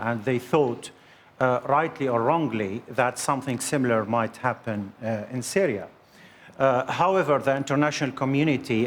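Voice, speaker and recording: A man speaking as a guest in a live studio debate, measured and full of hesitant pauses. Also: mid-range voice, a little hiss or hum. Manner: measured, many hesitant fillers. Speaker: panel guest, Syrian opposition member.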